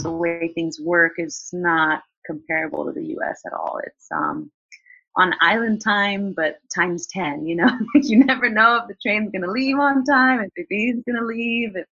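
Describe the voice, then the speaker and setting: A woman with a low, slightly husky voice answers questions in a loose, chatty way, full of fillers and restarts. The sound is dry and a little dull, like a lo-fi recording. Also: a bit bright, dry recording. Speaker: guest. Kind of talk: casual interview answers.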